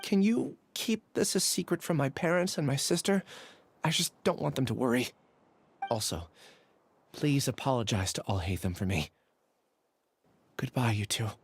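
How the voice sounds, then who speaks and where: A man voicing a young male scholar in a game, talking softly and reflectively, confessing with quiet resolve. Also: mid-range voice, animated, moderate pace, pauses often, slight background noise, natural voice.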